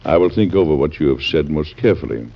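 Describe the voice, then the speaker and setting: An actor playing a grave, conscientious middle-aged American president in classic radio drama style, speaking in a deliberate, formal, earnest manner. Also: male voice, rumbling low, creaky, heavily acted. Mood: measured, courteous. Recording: dull band-limited old radio recording.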